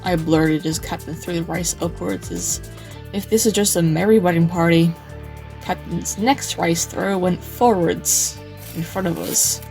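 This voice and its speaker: Over background music comes a woman acting a weary, reluctant traveller narrating a bleak comic-dub journey. The voice is on the low side and noticeably creaky, with a tired, awkward understatement. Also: unhurried. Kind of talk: first-person scripted narration, comic dub.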